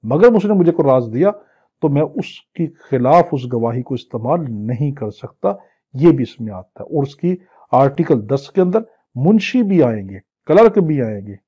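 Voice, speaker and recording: A man's voice: mid-range voice, big pitch swings, moderate pace, dark tone, breathy edge. A Pakistani man teaching law in an exam-prep lecture, reading legal text and explaining it phrase by phrase in a didactic manner. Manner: didactic, translating phrase by phrase, with acted-out examples. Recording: dull, lo-fi lecture audio.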